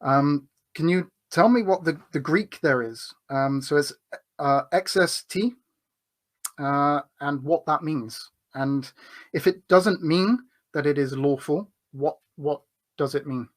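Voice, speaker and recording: A man's voice: on the high side, slow pace. A man arguing in a debate, hesitant, with many filler words and restarts. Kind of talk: debate argument.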